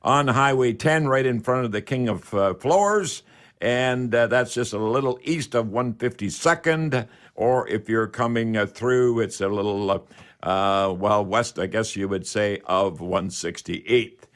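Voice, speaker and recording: A man's voice: on the low side, a little slow, fairly bright tone. A man hosting a live call-in radio show in a folksy, repetitive patter.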